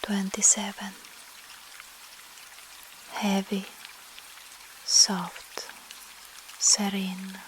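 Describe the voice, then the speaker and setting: A woman with a Russian accent softly whispers a soothing ASMR sleep hypnosis, in short phrases with long pauses, guiding the listener as a breathy hypnotist. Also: medium pitch, slight background noise, low-quality recording. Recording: steady rain sound behind voice. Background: rain.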